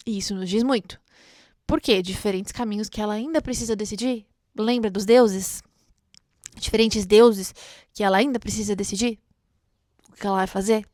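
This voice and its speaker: A woman's voice: medium pitch, darkish tone, dry recording, studio quality. A Brazilian woman hosting a book read-along, commenting casually and expressively like a chatty, gushing fan.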